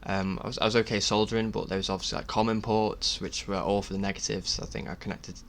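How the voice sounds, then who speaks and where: A man narrating his own DIY project in a casual voice-over, speaking in a halting, self-effacing way. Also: on the low side, flat delivery. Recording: clear background noise.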